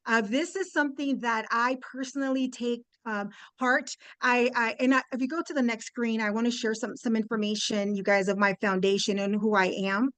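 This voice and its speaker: Woman, 50s, Spanish native speaker; lively guest presenter on a video call, high-ish voice, fillers and restarts, dry room. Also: moderate pace, few pauses, smooth, no room sound. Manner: upbeat, chatty, lots of fillers and restarts, addresses "you guys". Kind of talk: webinar presentation.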